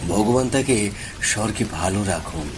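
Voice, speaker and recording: A man's voice: on the low side, lively intonation, moderate pace, natural voice. A man playing a polite, earnest village schoolmaster in a horror cartoon. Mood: affectionate, sympathetic. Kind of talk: Bengali horror cartoon voice acting. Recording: rain and thunder noise behind.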